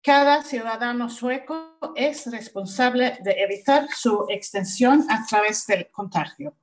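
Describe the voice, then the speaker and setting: A woman with a Swedish accent speaks in her second language, reading deliberately from her notes with small pauses. Her voice sits a bit high. She speaks from home over a video call. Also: soft background music. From Sweden. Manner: careful, deliberate reading with hesitations. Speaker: social worker, panelist. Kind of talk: reading a prepared talk.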